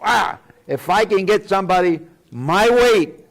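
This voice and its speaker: A man in his nineties hosting a casual chat, rambling and halting, often repeating himself. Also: high-pitched, lively intonation. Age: 90s. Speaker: talk show host.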